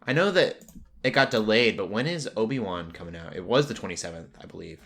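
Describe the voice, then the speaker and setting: A man's voice: fairly low pitch, expressive, fast, gravelly, fairly bright tone. A man hosting a casual pop culture podcast, talking in a rambling way that drifts into tangents. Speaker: podcast host.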